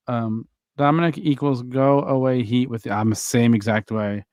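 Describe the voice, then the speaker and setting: A man's voice: medium pitch, fairly even intonation, moderate pace, a little mellow, no room sound, studio quality. A man co-hosting a wrestling podcast, rambling and teasing in casual chat.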